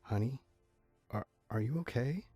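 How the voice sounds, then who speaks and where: A man playing a gentle, reassuring stallion husband and father in a fan audio drama, speaking soothingly. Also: mid-range voice, expressive, measured pace, breathy edge, faint music behind. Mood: soothing, concerned.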